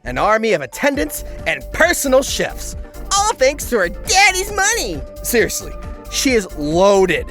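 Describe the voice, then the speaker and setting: A woman host delivers scripted comic narration in a high, bright voice. She is theatrical and sarcastic, swinging hugely in pitch over faint music. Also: huge pitch swings, measured pace. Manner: theatrical, sarcastic, foul-mouthed.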